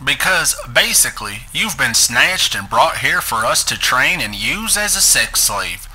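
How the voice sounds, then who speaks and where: A man's voice: medium pitch, very animated, unhurried, sharp tone, breathy edge, poor audio. A man giving a dramatic reading of a script as its narrator. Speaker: narrator reading a transcript aloud.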